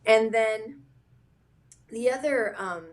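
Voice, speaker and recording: A woman's voice: on the high side, takes it slowly. A woman from Hawaii, a business founder, talking as a guest in a casual interview, thoughtful and hesitant with many fillers.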